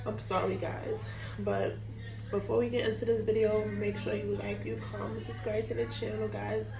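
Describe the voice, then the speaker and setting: A woman's voice: medium pitch, little pitch movement, normal speed, dull audio, music in the background. A young woman who is sick and losing her voice chats casually to viewers while cooking at home. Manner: chatty, apologetic, addresses viewers as "guys". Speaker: cooking vlogger. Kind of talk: casual chat to camera. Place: home kitchen. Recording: roomy echo, mic at a distance.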